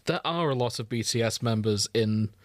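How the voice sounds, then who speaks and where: A man's voice: medium pitch, normal speed, dry recording. A man co-hosting a podcast in casual comedic chat, giggly and riffing on absurd ideas. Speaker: podcast co-host.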